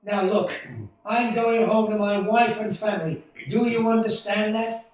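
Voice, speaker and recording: A man's voice: high voice, steady tone, a little slow. A man playing a desperate, bewildered American family man in a radio play, agitated, stammering and insistent. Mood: irritated. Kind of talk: 1940s-style radio play acting. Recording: very echoey room, distant microphone.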